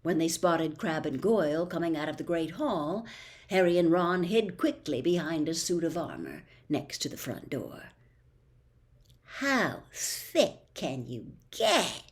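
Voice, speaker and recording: Animated woman reading a storybook. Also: deep voice, moderate pace. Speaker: audiobook reader. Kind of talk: expressive storybook narration.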